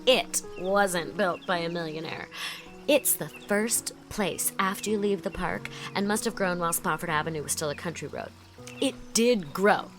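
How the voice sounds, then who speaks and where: A woman's voice: fairly low pitch, fairly bright tone. A woman playing a chatty, effusive young society woman in an audiobook drama, leaning on words for dramatic emphasis. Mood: enthusiastic.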